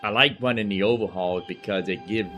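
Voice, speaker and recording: A man's voice: lowish voice, a little fast, fairly bright tone, a little hiss or hum. A man giving casual, rambling gameplay commentary as a live streamer, often repeating himself in an easygoing way. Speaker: live streamer playing a video game.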